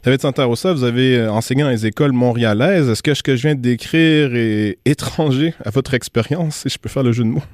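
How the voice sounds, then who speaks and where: A man's voice: mid-range voice, a little fast, hardly any pauses, a little mellow, dry recording, clean studio sound. A man with a Quebec French accent hosting a current affairs radio discussion, telling anecdotes and giving his opinions in a reflective way. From Quebec, Canada. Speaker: radio host. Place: radio studio.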